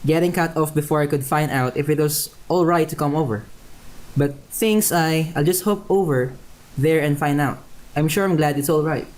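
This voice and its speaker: A woman's voice: sits low, moderate pace, darkish tone, close to an everyday voice. A woman playing a breezy, oblivious, talkative teenage neighbor boy in a radio play. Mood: cheery.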